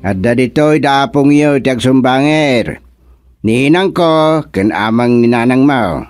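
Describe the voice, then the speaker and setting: Man as a jovial village man, Ilocano accent, animated and unhurried, dry studio sound. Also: medium pitch, no room sound, soft background music, natural voice. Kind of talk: Ilocano radio drama acting.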